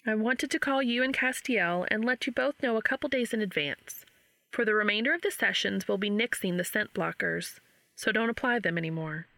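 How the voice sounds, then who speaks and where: A woman's voice: mid-range voice, a little fast, vocal fry, bright, forceful tone, no room sound. A woman voicing an upbeat, teasing young woman who runs a study, frank and patient in manner. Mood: upbeat, careful.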